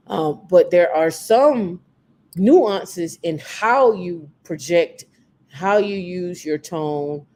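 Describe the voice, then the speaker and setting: A woman with a naturally deep voice, hosting a casual podcast chat and repeating her points for emphasis. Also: darkish tone. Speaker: podcast host.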